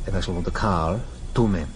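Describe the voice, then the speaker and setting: An actor in a vintage radio drama playing a ruthless, commanding Soviet colonel, blunt and imperious. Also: male voice, low voice, a little fast, lightly acted. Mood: commanding, impatient. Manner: blunt, imperious, clipped orders.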